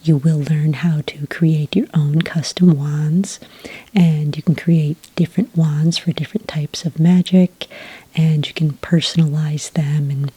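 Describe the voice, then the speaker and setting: Woman as friendly witch registrar, ASMR roleplay, soft breathy near-whisper, low pitch, close dry sound, unhurried, helpful. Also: no room sound, full character voice. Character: friendly witch enrollment clerk at a magic academy.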